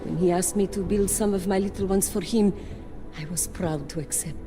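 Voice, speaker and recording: An actress playing a fanatical cult high priestess in a video game, theatrical, pompous and reverent. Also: female voice, steady tone, normal speed, natural voice. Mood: proud. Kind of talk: cinematic video game acting. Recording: dramatic music behind the voice.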